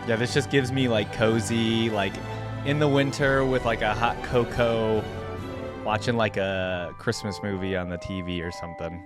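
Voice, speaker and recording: A man's voice: lowish voice, steady tone, moderate pace, music in the background. A man giving casual, easygoing reaction commentary as a video host, rambling and thinking aloud.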